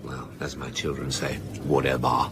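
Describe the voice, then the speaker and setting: A man's voice: very deep voice, level tone, normal speed, a bit bright, a little hiss or hum. A man playing a self-assured, drily flippant British crime novelist in a naturalistic radio drama. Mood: evasive. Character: smooth, self-assured middle-aged male crime novelist.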